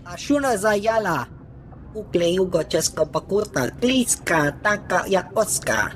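A woman voicing an alien gangster from a sci-fi video game. The speech is choppy invented syllables at a medium female pitch, with faint noise behind it. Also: animated, a little slow, lightly acted. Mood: guarded. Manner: nonsense syllables in choppy phrases.

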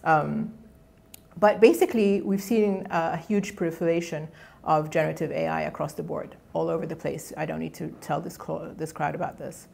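A middle-aged woman whose first language is Egyptian Arabic speaks from a lecture podium. Her voice sits low with a gravelly, creaky edge. She talks in a loose, conversational way, often breaking off and restarting her phrases. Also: fairly even intonation, moderate pace, a little mellow. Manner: conversational, with frequent restarts and fillers. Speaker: guest lecturer. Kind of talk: academic colloquium talk. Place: lecture hall.

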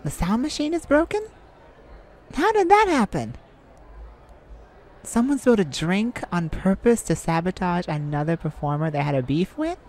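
A woman's voice: fairly low pitch, very animated, normal speed, long pauses, darkish tone, pitched below the performer's own voice. A woman voicing a shy, sweet, easily flustered young male singer in an ASMR roleplay, with a stammering, earnest manner. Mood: concerned.

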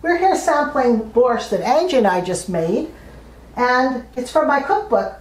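A woman's voice: sits high, expressive, unhurried, slight background noise, poor audio. An older woman from Canada, an author and host, reading aloud and presenting a home cooking demonstration. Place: indoors at a dining table in a home.

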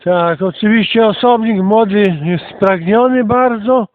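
A man with a high voice hosts a casual walking narration outdoors. He speaks slowly and haltingly, pausing to think between short remarks. The sound is thin and narrow, like a phone recording. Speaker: channel host. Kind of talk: casual narrated walk for an online channel. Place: outdoors, walking through countryside.

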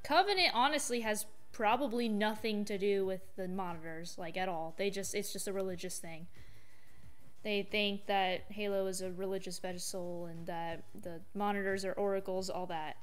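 A woman giving casual reaction commentary as a gaming video host, thinking aloud in a halting way. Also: medium pitch, steady tone.

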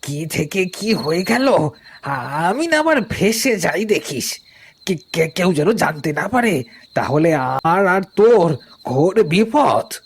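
A man voicing a nervous, threatening village boss in a cartoon, husky and stammering. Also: sits high, big pitch swings, slow pace, slight background noise, heavily acted. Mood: anxious, threatening.

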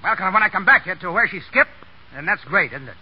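A brash, proud young wisecracker in a 1930s radio play. His voice is high for a man and animated, snapping out curt, slangy sarcasm. The recording is dull old radio. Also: quick pace, slightly rough. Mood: heated. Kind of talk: 1930s American radio acting. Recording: dull, tinny 1939 radio broadcast.

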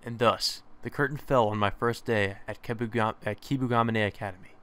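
A man's voice: fairly low pitch, fairly even intonation, a little fast, a bit bright, clear, resonant tone. A man reading a visual novel aloud as a let's play host, mixing narration with casual commentary. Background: game music.

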